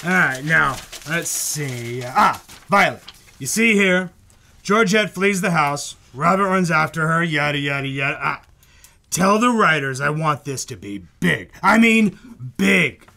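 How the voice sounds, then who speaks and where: An excitable radio producer from a 1940s comedy, hammy and emphatic. His voice is high and bright, with drawn-out pacing and some gravel. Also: exaggerated voice. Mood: brash, excited. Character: excitable fast-talking 1940s radio producer, theatrical flatterer. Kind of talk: old-time radio comedy acting. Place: live stage performance in a bookstore.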